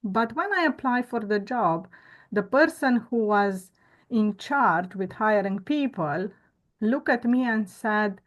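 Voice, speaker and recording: A woman, a guest telling her story earnestly and reflectively, pausing often on "uh" and "um". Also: medium pitch, animated, lo-fi sound. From Romania. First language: Romanian. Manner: earnest, reflective, with frequent "uh" and "um" pauses. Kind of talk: conversational interview storytelling.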